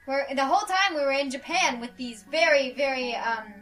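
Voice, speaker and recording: Bright, high young woman, off the mic. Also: animated, normal speed, distant mic, low-quality recording. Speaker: let's play co-host. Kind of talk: casual banter and reading game dialogue aloud.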